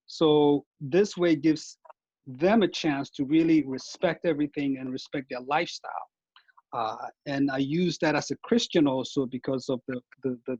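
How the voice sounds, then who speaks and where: A man, a painter, speaking earnestly and haltingly about his work and community over a video call. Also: a little high, darkish tone, slightly rough, no room sound. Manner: earnest, halting, repeats words. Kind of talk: casual artist talk on video call. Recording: dull, dry video call audio.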